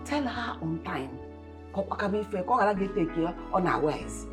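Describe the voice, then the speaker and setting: A woman's voice: lowish voice, lively intonation, fairly quick, loud music behind the voice, natural voice. A woman playing a domineering Nigerian mother. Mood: adamant. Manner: scolding, mixes in local words. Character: domineering, disapproving middle-aged mother, sharp-tongued. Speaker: actor. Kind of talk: comedy skit acting.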